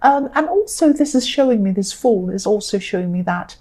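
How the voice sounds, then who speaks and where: A woman giving a solo tarot reading, speaking gently with a slightly croaky voice, repeating herself and thinking aloud with plenty of fillers. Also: mid-range voice, expressive, brisk. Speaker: tarot reader.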